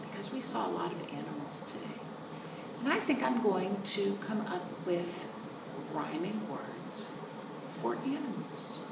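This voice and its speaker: A woman hosting storytime for young children, reading aloud in an animated sing-song way with chatty asides to her listeners. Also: mid-range voice, vocal fry. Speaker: children's storytime host at a library. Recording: roomy, distant microphone with noticeable background noise.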